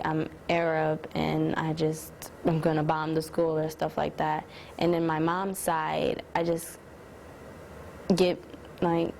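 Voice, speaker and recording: A teenage girl talks in a low, even voice for a documentary interview. She is soft-spoken and hesitant, with many fillers, over faint background hiss. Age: teens. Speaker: student interviewee.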